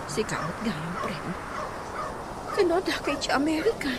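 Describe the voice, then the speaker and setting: A woman's voice: fairly high pitch, sing-song, a little slow. A woman playing an emotional unmarried aunt with an Ilocano accent in a radio comedy drama. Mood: subdued, self-deprecating. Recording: clear background noise, animal and bird ambience.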